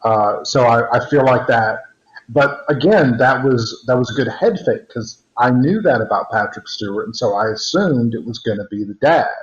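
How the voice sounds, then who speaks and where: A man's voice: medium pitch, moderate pace. Man with roomy, muffled call audio. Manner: rambling, many restarts and fillers. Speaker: podcast co-host. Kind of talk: casual discussion over a call.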